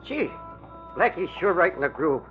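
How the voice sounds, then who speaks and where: High-voiced, excitable small-time crook. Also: male voice, normal speed, faint music behind. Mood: breezy. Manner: excitable gangster slang. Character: slangy small-time crook cab driver, eager and naive. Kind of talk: 1940s radio crime drama acting. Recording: tinny, noisy old radio recording.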